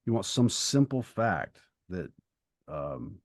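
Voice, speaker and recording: A man co-hosting a podcast, explaining ideas in a thoughtful, halting way in casual discussion. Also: low-pitched, big pitch swings, no room sound, clean studio sound. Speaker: podcast co-host and writer.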